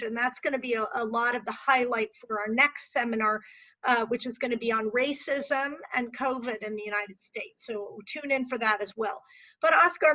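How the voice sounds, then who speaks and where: A woman moderating a webinar Q&A over a video call. She talks in a relaxed, conversational way as she steers the discussion. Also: high-pitched, highs cut off.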